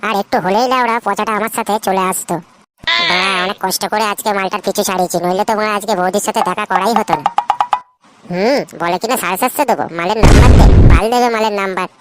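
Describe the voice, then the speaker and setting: A cocky teenage schoolboy from an adult comedy cartoon, voiced by a woman in a mid-range voice, rattling along at a fast clip. He is brash and swaggering, and loud hiss runs under the whole track. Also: medium pitch, close to an everyday voice. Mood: scheming. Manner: brash, swaggering, full of slang. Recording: loud background noise.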